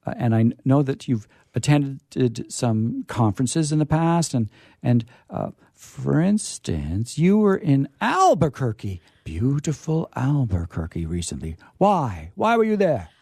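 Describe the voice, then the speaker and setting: A man hosting a casual on-air interview in a chatty, halting, wordy way, with playfully grandiose touches. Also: medium pitch, sing-song, gravelly, a little mellow, breathy edge, no room sound, studio quality. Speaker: radio host. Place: radio studio.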